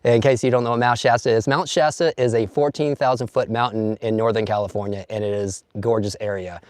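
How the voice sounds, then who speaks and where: A man with a slightly hoarse, mid-pitched voice chats casually through his phone from a sunny campsite outdoors, greeting viewers by name and laughing easily. The sound is close and dry, with no room echo at all. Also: fairly even intonation, fairly quick, a little mellow. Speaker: vlogger. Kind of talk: casual live stream chat, reading comments. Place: outdoors at a campsite in bright sun.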